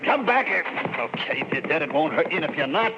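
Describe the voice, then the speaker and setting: An actor playing a greedy, callous small-time crook with brusque, slangy, threatening speech in 1940s radio drama style. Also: male voice, fairly high pitch, sing-song, fast talker, over loud music. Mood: menacing. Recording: muffled, hissy vintage radio recording.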